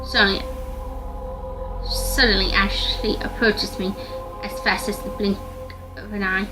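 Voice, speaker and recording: A woman reading a visual novel aloud as dramatic first-person narration, slightly halting in her delivery. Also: medium pitch, level tone, music in the background, low-quality recording.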